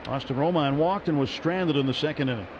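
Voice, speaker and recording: A man calling baseball play-by-play on the radio, brisk and lifting on big plays. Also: fairly high pitch. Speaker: play-by-play announcer. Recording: muffled radio broadcast with noise.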